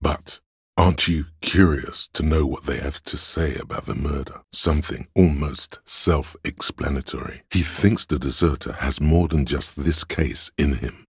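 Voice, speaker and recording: A cool, superior voice of pure reason for a video game. It is extremely deep and heavily husky, full of gravel. The delivery is measured, deliberate and dryly analytical, like dramatic narration. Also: male voice, no echo, clean studio sound, full character voice. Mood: probing, deductive. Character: cool, analytical inner voice of Logic, dry and superior.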